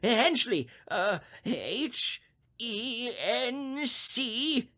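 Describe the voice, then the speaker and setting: A man's voice: extremely high pitch, animated, drawn-out words, sharp tone, full character voice. An actor playing a crotchety, panicky old invalid man in an old radio thriller, stammering and fretful in a melodramatic way. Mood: distraught, indignant. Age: older.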